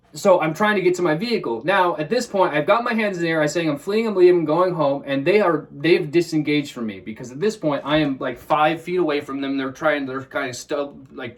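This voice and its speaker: High-voiced man telling a heated story. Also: far from the mic. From Washington State. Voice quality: high-pitched voice. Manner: agitated, swears often, acts out his own quotes. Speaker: vlogger. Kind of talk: casual storytelling.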